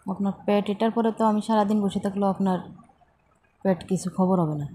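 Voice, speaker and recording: A woman plays a hesitant female employee with a Bangladeshi accent in a conversational phone drama. Her voice is warm and unforced at an average pitch, over faint noise. She stays deferential while bluntly holding back. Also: fairly even intonation, a little fast, close to an everyday voice. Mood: blunt, reluctant.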